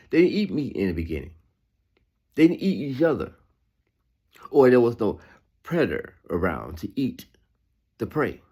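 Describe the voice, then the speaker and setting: A man's voice: mid-range voice, darkish tone, breathy edge. A man reading scripture aloud with informal sermon remarks, emphatic and repetitive, with conversational asides that seek agreement. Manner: emphatic, repeats phrases for emphasis. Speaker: Bible reader giving commentary.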